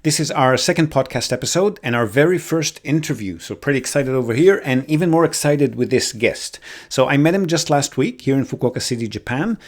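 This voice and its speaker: A man's voice: mid-range voice, expressive, brisk. Upbeat male podcast host. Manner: upbeat, enthusiastic. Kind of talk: podcast intro and interview.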